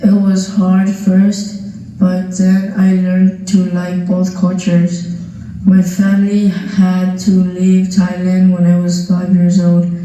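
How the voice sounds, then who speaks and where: A young boy slowly reading his essay aloud, careful and even. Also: sits high. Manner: slow, careful, flat reading. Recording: video played through speakers, heard from far off in an echoey gym.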